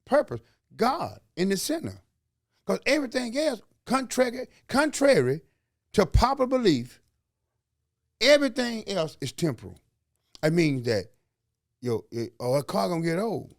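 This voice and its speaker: A man's voice: high-pitched, sing-song, normal speed, gravelly, pauses often, no echo, studio quality. A man from Alabama with a Southern accent, hosting a podcast in a folksy, preachy way, full of slang and rhetorical questions. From Alabama, USA. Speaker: podcast host. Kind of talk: motivational podcast talk.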